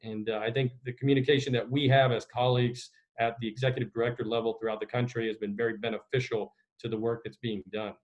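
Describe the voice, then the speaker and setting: Male sports administrator, medium pitch, formal and measured, fielding press questions on a video call. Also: little pitch movement, highs cut off. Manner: measured, formal, official phrasing. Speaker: executive director of a school sports association answering questions. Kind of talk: media conference call answers. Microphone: video conference call.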